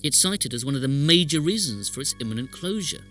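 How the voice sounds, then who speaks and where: A resentful villager with a grudge against his rich brother, played by a man. His fairly high, sharp voice is animated and aggrieved, with faint music behind it, as in an audio drama. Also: a little fast. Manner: aggrieved, emphatic. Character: bitter, resentful brother of a wealthy village lord. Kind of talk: podcast audio drama acting.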